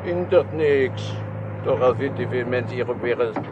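An actor playing a chatty, grumbling foreign taxi driver in a radio drama, speaking broken sentences with a foreign accent. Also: male voice, mid-range voice, moderate pace, close to an everyday voice. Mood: impatient grumbling. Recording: muffled radio play recording with clear noise.

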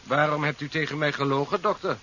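A man's voice: fairly high pitch, steady tone, fast, sharp tone. A man playing a suspicious, officious village policeman with a sinister edge in a 1970s radio drama. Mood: accusing, insistent. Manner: probing, officious. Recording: dull, faintly noisy 1970s radio recording.

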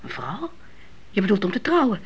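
A woman playing an older widowed mother in a radio play, grieving, solemn and firm in her faith, with a husky, often hushed voice. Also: mid-range voice, big pitch swings, moderate pace, lo-fi sound, a little hiss or hum, natural voice. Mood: solemn, concerned. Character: grieving, devout old widowed mother, stern and unyielding in faith.

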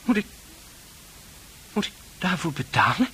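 A man's voice: high voice, very animated, measured pace, a bit bright, full character voice. An actor in a Dutch radio play playing a naive, apologetic young man who stammers and pleads. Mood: bewildered, hurt. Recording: hissy old radio play recording.

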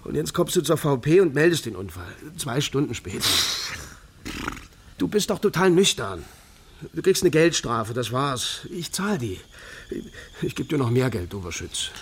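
An actor playing a drunk, frightened East German secret police lieutenant who stammers and pleads in a naturalistic radio drama. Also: male voice, mid-range voice, very animated, moderate pace, husky. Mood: urgent, scheming.

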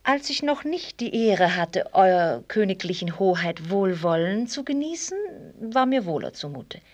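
A woman's voice: mid-range voice, big pitch swings, moderate pace. Mocking, proud young woman, swooping voice. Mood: ironic, reproachful. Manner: ironic, formal, teasing. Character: proud, mocking young millionaire's daughter who rides fast. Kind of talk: German radio play acting.

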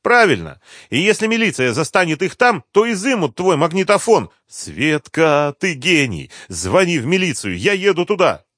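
A male narrator plays a young woman in an audiobook, urgent and insistent. The voice is pushed high and swings widely in pitch, recorded close and dry. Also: big pitch swings, normal speed, a bit bright, no echo. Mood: anxious. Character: urgent, desperate young woman journalist named Rimma. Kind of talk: audiobook character dialogue.

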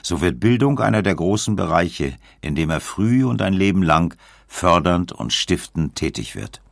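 A man reading scripted documentary narration in a measured, storytelling way. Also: deep voice, very animated, creaky, no room sound, studio quality.

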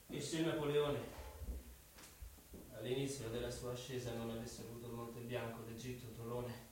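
Tormented young murderer in a cavernous echo actor. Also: male voice, medium pitch, darkish tone, lightly acted. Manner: intense, obsessively repeating phrases. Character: tormented, guilt-ridden young man confessing a murder. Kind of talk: Italian radio drama acting. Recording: echoey, distant, noisy hiss with clicks.

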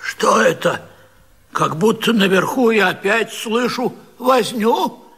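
An actor playing a fussy, fond old husband in a radio comedy, stammering and repeating himself in a coaxing, placating way. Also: male voice, high voice, lively intonation, takes it slowly, fairly bright tone, slightly rough, big-room sound, full character voice. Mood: fussy, puzzled. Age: older. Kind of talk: classic radio comedy vaudeville acting.

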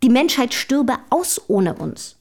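An actress in a German historical radio drama playing an earnest, outspoken early feminist from the city, speaking formally and rhetorically. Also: female voice, fairly high pitch, big pitch swings, takes it slowly, a little mellow, full character voice. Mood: fervent, admiring.

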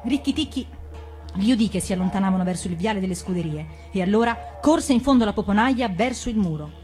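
A woman's voice: medium pitch, expressive, fast talker, a little mellow. Woman reading a story aloud over music. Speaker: actress reading a fairy tale. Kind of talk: dramatized storybook narration.

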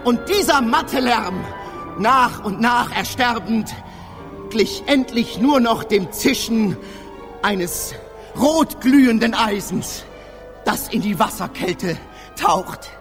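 An actor playing a traveler who narrates a fantastical battle of beasts in a measured, theatrical radio drama manner. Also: male voice, extremely high pitch, expressive, a bit bright, exaggerated voice. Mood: descriptive, suspenseful. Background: music.

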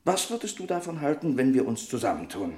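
A man's voice: medium pitch, sing-song, a little fast, warm tone, lightly acted. An actor playing a hearty, persuasive trucker friend in a German radio crime drama, jovial and teasing, drawing out his announcements. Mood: persuasive, earnest.

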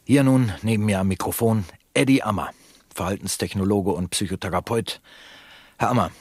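A polished, showy male radio presenter in a radio drama. His voice is low and rough-edged, formal and effusive, recorded close and dry. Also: brisk, no room sound. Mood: smooth, formal. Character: unctuous, polished male radio show host.

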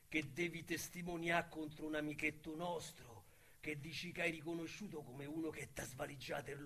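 An actor with a Roman accent playing a boastful small-time male crook in a radio drama, talking in a colorful, slangy way that swings between loud bragging and hushed menace. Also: on the high side, slightly rough, full character voice. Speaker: narrator-protagonist.

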